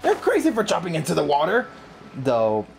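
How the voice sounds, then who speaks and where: Female let's player: casual and slangy, fairly low register, animated reactions over game music. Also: moderate pace, gravelly. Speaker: let's play streamer. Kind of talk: casual gameplay commentary.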